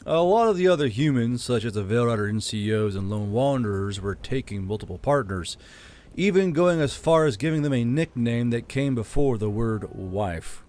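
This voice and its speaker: Man reading a fantasy novel aloud. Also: medium pitch. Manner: steady, measured reading. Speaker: audiobook narrator. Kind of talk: scripted narration.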